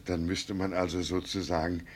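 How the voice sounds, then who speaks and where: An actor playing a poor, emotional farmhand who has just become a father, in a German radio play, with a rough voice. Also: deep voice, level tone, moderate pace. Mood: moved, tender. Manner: emotional, brooding, swinging from loud complaint to murmur. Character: poor, grumbling farmhand turned tender, anxious new father.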